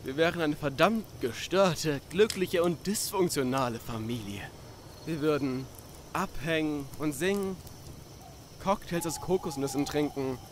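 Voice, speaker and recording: A man's voice: on the high side, a little slow, a little hiss or hum, close to an everyday voice. A man voicing a laid-back, dreamy young drifter in a video game fan dub, slangy and musing. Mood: dreamy, playful. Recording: night crickets and outdoor ambience behind. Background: cricket and insect ambience.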